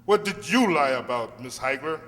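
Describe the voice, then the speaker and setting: An actor playing an earnest, dogged defence barrister with formal, oratorical delivery in a radio play. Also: male voice, mid-range voice, lively intonation, moderate pace, fairly bright tone, close to an everyday voice. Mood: pressing. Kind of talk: courtroom radio play acting. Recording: hall reverb with faint hum.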